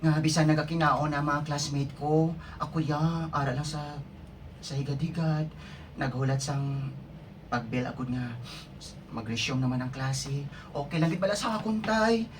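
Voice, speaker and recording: A woman's voice: sits low, moderate pace, natural voice. A woman playing an eager schoolgirl in an amateur radio drama, recorded at a distance. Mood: pleading. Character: pleading, eager schoolgirl begging her father. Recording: distant mic, faint background noise.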